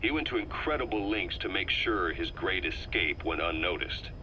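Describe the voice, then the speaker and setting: A shrewd, calculating male intelligence operative laying out a situation in a video game tape. He talks in a low, measured, earnest way with a sharp, forceful tone. A faint hiss runs underneath. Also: animated, full character voice, low-quality recording.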